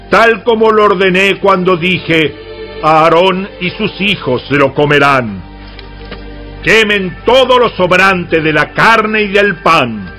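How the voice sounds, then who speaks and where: An actor playing a commanding male religious leader who gives solemn, measured instructions in a dramatized audio Bible. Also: on the high side, bright, forceful tone.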